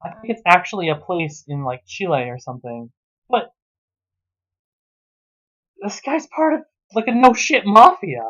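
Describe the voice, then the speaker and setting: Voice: mid-range voice, very animated, measured pace, pauses often, fairly bright tone. A commentator ranting sarcastically and casually about a story, exasperated and swearing freely, on a dull lo-fi recording. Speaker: host reading and mocking an amateur story. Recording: dull, muffled lo-fi sound.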